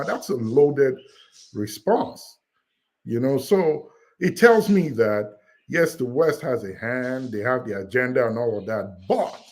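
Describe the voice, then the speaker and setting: A man's voice: mid-range voice, darkish tone. A man from Nigeria with a Nigerian accent, talking through his views in a panel discussion with many fillers and restarts. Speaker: panel participant. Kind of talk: casual discussion. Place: United States.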